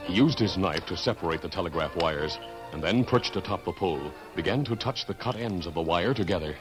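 A man playing the dramatic narrator of a 1950s radio western adventure, with an American accent and deliberate storytelling delivery. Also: sits low, lively intonation, over loud music. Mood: measured. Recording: old radio broadcast recording.

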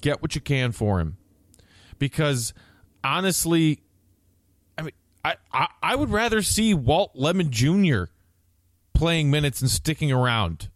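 A man's voice: a little high, very animated, unhurried, long pauses, no room sound, clean studio sound. An American man co-hosting a sports podcast, giving blunt, emphatic opinions on basketball in a conversational way.

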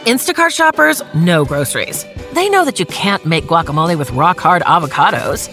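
Animated commercial narrator over music. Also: mid-range voice, moderate pace. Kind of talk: scripted advertisement voice-over.

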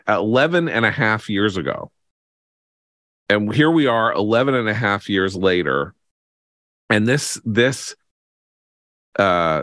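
An American man hosting a podcast, giving political commentary in an emphatic, halting way with repeated phrases. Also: on the low side, a bit bright, dry recording, studio quality. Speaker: podcast host.

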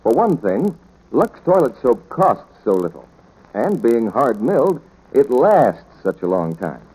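A man's voice: mid-range voice, sing-song, unhurried, mellow, breathy edge, low-quality recording. A male radio announcer delivering scripted 1940s announcements and sales patter. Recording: old 1940s radio broadcast.

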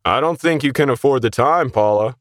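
Man putting on a smug, pushy manager, pitched up. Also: a little fast, no room sound, studio quality, close to an everyday voice. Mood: scolding. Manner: condescending, insistent. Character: smug, pushy male office shift manager.

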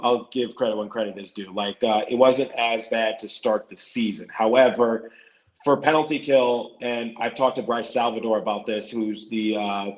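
A man with a hoarse voice, a guest on a sports podcast, talking casually and often repeating and restarting his words. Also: lowish voice, roomy sound, rough recording.

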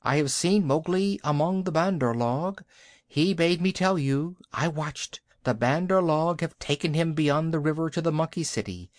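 A man voicing a loyal messenger kite bird in a storybook, calling out and reporting briskly. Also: a little high, no room sound, clean studio sound, pitched above the performer's own voice.

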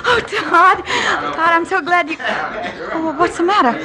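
A young saloon girl, very high breathy pleading, loud bar crowd, old radio. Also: slow pace, heavily acted. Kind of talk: 1950s radio drama acting.

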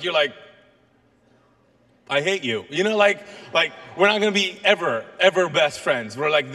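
A middle-aged man who grew up in Kuwait with Urdu as his first language, preaching as a guest pastor to a congregation in a lively, joking way. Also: high voice. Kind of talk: sermon. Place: large church auditorium. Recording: long hall reverb.

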